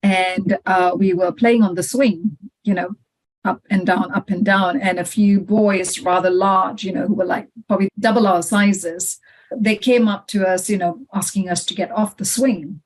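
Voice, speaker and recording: A middle-aged woman from Malaysia speaks as a podcast guest, sounding reflective as she tells her story. She talks in a relaxed conversational way, often dropping in "you know" as she goes. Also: mid-range voice, normal speed, low-quality recording. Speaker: podcast guest, head of legal.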